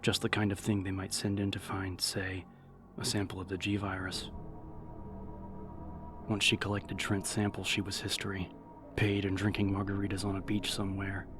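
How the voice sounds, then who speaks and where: A low-voiced man narrates a dramatic audiobook in a measured, serious way. His intonation stays flat and controlled, with music clearly playing behind him. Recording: narration over background music.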